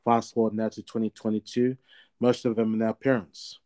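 A man's voice: on the low side, little pitch movement, fairly quick, dark tone. A man in his thirties from Australia, a guest in a casual interview, talking in a rambling way with many fillers and restarts. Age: 30s.

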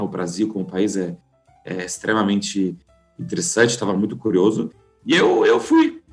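A man in his thirties with a German accent, a guest speaking Portuguese as a second language, talking hesitantly with restarts and fillers. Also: mid-range voice. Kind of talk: conversational interview.

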